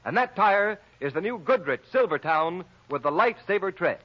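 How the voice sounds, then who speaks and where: A male 1930s radio announcer with a high voice and lively, sweeping intonation. He delivers his copy emphatically, and the vintage recording sounds muffled. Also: moderate pace. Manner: emphatic, declamatory.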